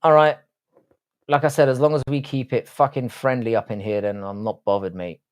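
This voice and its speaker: A man's voice: medium pitch, level tone, moderate pace, clear, resonant tone. A man with a London accent, live streaming casually, answering chat in an informal, matey way and swearing freely. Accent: London English. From London, England.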